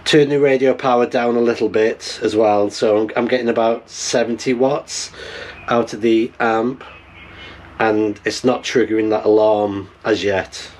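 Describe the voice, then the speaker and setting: Slow, low-voiced middle-aged man thinking aloud. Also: fairly even intonation. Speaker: amateur radio vlogger. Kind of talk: casual vlog chat while tinkering and unboxing. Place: small wooden cabin, indoors.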